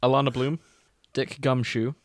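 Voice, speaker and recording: A man hosts a podcast in a loose, comedic style. His voice sits at an average pitch and is recorded dry, with almost no room around it. He jokes easily and swears as he goes. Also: level tone, normal speed, dry recording. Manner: joking, sweary. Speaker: podcast host. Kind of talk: casual comedic chat.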